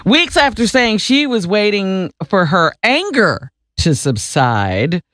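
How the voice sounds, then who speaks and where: A woman's voice: medium pitch, big pitch swings, slow, a bit bright, no echo. Woman reading radio news, dry close sound. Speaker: radio news reader and co-host. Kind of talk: news reading with casual banter.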